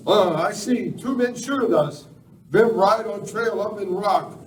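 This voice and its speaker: A man's voice: sits high, unhurried. A man playing a stolid, loyal tracker sidekick in an amateur radio western, speaking in clipped broken English. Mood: wary. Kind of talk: amateur live radio play acting. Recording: roomy, distant live recording, faint noise.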